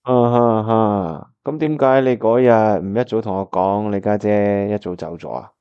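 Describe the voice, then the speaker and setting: A man's voice: on the low side, moderate pace, warm tone, clean tone, no echo, dull audio, close to an everyday voice. A man with a Hong Kong Cantonese accent acting an earnest, lovelorn young pianist who narrates his own story in a radio drama. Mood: surprised, curious. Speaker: voice actor, lead and narrator.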